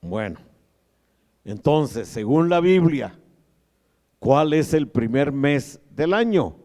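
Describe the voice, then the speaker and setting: A pastor in his sixties giving a sermon in a joking manner, growing louder as he preaches in a large church hall. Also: on the high side. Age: 60s. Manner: slow, joking, grows loud when preaching. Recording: long church reverb.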